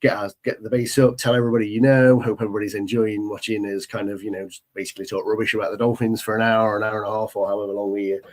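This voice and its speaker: A man's voice: lowish voice, level tone, racing, few pauses. A British man hosting a casual podcast chat, stuffy with a summer cold and rambling with many ums. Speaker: podcast host.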